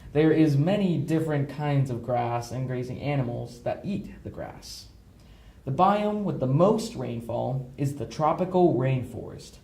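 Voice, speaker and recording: Echoey, mellow young man narrating a lesson. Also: medium pitch. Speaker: narrator of an educational film. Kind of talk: scripted educational narration with jokey asides. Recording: roomy, echoey amateur recording.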